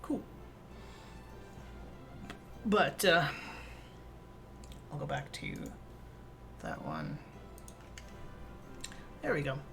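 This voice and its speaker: A woman's voice: low voice, huge pitch swings, drawn-out words, long pauses. A woman with a Minnesotan accent, chatting casually and playfully while streaming a game. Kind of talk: casual gameplay chat. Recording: game music behind.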